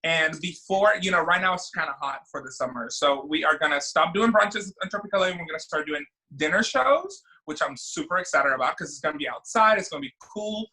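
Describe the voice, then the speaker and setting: A man's voice: fairly high pitch, lively intonation, fairly quick, few pauses, sharp tone, poor audio. A man, chatting as a guest in an interview, talkative with many fillers and restarts. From Venezuela. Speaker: drag queen and event producer. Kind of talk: casual interview chat.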